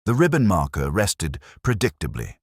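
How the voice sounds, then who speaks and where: A melancholy police inspector narrates a detective radio drama in an extremely deep voice. His delivery is measured and literary, with deliberate pauses and expressive swings in pitch. The sound is dry and close, and his tone stays wry and brooding. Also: slightly rough, dry recording, heavily acted. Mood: brooding, reflective. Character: melancholy, wry middle-aged male English police inspector. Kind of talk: scripted first-person detective narration.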